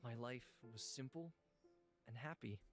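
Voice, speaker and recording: An actor playing a gentle ancient god living in a human body, speaking in a measured, reflective way for a visual novel. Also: male voice, mid-range voice, close to an everyday voice. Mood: wistful. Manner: measured, solemn, reflective storytelling. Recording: music behind the voice. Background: instrumental music.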